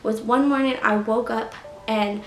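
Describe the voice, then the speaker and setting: A woman's voice: fairly high pitch, steady tone, measured pace, a little hiss or hum. A woman in her late twenties talking casually to her viewers, full of "like" and "you know". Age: 20s. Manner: chatty, heavy use of "like" and "you know". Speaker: YouTuber. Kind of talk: casual storytime vlog. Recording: echoey room.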